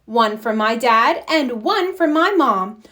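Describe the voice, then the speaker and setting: A woman's voice: on the high side, lively intonation, slow, sharp tone, exaggerated voice. A woman voicing a smug, money-minded little schoolgirl in a storybook, bragging matter-of-factly.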